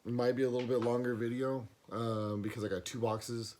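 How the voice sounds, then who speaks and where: A man with a hoarse, worn voice, rambling casually through a vlog with lots of fillers, recorded on a camera mic with no external microphone. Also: mid-range voice, little pitch movement, brisk, darkish tone. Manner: rambling, many fillers and restarts. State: hoarse, losing his voice. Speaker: pipe smoking vlogger. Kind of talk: casual box opening vlog.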